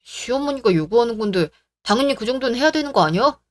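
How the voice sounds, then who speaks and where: A woman's voice: fairly high pitch, lively intonation, fast talker, natural voice. A woman voicing a pushy, sneering young fiancé. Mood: angry. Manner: demanding, sneering. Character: entitled young male fiancé, pushy and sulky.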